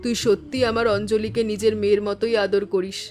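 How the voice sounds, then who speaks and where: A middle-aged woman voicing a kindly, steadfast village mother in an animated family drama. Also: medium pitch, fast talker, close to an everyday voice. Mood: affectionate. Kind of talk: animated moral story voice acting. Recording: faint music behind the voice.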